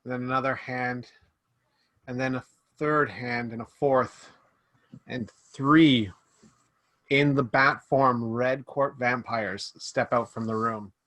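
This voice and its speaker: A man running a tabletop role-playing game over a video call, narrating scenes slowly and descriptively. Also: mid-range voice, steady tone, pushed and bright, dry recording. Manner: slow, drawn-out descriptive narration. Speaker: game master. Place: home room indoors. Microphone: video call webcam mic.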